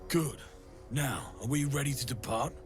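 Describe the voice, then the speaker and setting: A man's voice: medium pitch, normal speed, faint music behind, close to an everyday voice. A man playing a brusque cult soldier in a fantasy game, curt and commanding.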